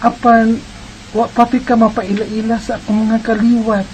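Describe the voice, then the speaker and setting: Woman acting an earnest, high-voiced young woman in love. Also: little pitch movement, normal speed, a little mellow, slight background noise, close to an everyday voice, rough recording. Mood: hopeful, coaxing. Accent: Filipino, Visayan. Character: young single woman in love, earnest and trusting letter-writer. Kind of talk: Bisaya radio drama acting and narration.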